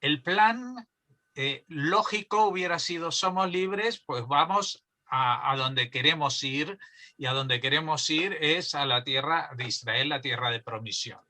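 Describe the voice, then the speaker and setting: A man moderating an online panel, asking a long, deliberate question with many pauses. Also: a little high, pushed and bright.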